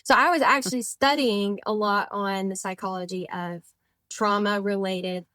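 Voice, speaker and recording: A woman in her twenties talks as a podcast guest, circling her thoughts with "like" and quick self-corrections. Her voice sits at an average pitch. The sound is dry and close, with no room to it. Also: steady tone, pushed and bright, no room sound. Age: 20s. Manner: chatty, rambling, frequent "like" fillers and self-corrections. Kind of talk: casual conversational interview.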